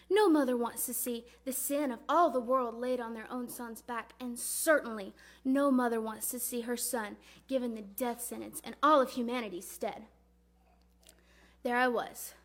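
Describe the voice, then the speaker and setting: A woman performing a dramatic religious monologue as a grieving, devout mother recalling her son, speaking earnestly and deliberately. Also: a little high, close to an everyday voice. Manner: earnest, reverent, deliberate storytelling.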